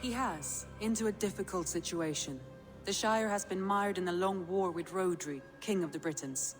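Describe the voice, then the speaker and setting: An actress playing a shrewd, composed Viking clan advisor in a video game, measured and businesslike. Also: female voice, on the low side, over music, natural voice. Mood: composed, advising. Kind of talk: dramatic video game acting.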